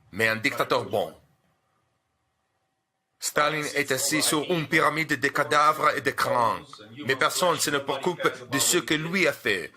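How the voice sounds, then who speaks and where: An Italian actor playing a pompous male dictator on stage, declaiming slowly in a theatrical way. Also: medium pitch, natural voice. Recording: trailer clip with loud music.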